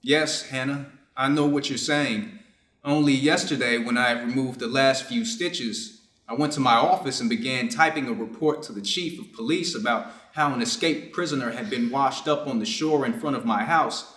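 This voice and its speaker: Man as conflicted surgeon, measured and formal, mid-pitched voice, echoey room, stage reading. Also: fairly bright tone, close to an everyday voice. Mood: troubled, resigned. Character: conflicted, dutiful Japanese surgeon and husband. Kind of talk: reader's theater stage reading.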